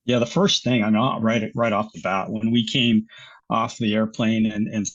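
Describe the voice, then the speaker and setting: An American man talking as a guest in a casual interview, easygoing and quick to laugh, often restarting his sentences. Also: fairly low pitch, flat delivery, lo-fi sound. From United States.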